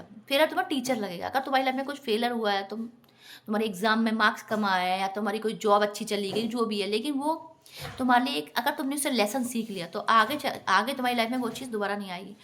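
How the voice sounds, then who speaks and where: A woman's voice: a little high, fast, fairly bright tone. A woman giving an earnest, instructive motivational talk directly to her viewers. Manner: earnest, instructive, often addresses listeners as friends. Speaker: self-help vlogger.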